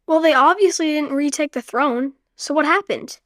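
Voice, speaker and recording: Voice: sits high, moderate pace, pushed and bright, no room sound, clean studio sound. A performer voicing a curious young orphan boy who asks eager questions in a radio play.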